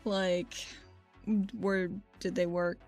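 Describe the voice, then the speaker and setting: A woman's voice: fairly low pitch, monotone, extremely slow, faint music behind. A woman playing a tabletop game, speaking in casual role-play and table chat with a polite, tentative manner.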